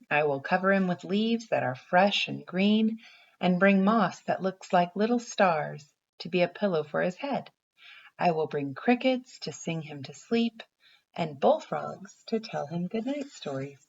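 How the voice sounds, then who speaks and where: A woman's voice: fairly low pitch, animated, measured pace, creaky, exaggerated voice. A woman reads as an earnest young boy in a bedtime storybook, full of imaginative plans. The recording is a little dull and muffled. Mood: eager. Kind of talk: bedtime story read aloud.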